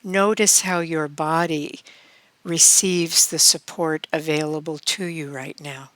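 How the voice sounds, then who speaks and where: Female meditation guide: calm, extremely unhurried delivery with long pauses, smooth clear tone, close and dry sound. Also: low-pitched, dry recording. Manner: calm, measured, with long pauses between phrases.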